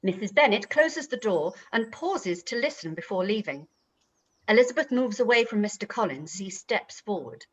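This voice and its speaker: Woman reading stage directions, bit husky, medium pitch, scripted narration. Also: very animated, normal speed, highs cut off. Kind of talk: scripted radio play narration.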